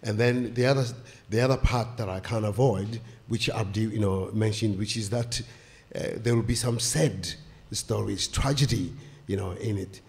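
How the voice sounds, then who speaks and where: An older man, a guest author on a stage panel, speaking haltingly with many fillers into a stand mic. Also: on the low side, creaky, darkish tone. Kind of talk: panel discussion. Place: stage of a small indoor venue.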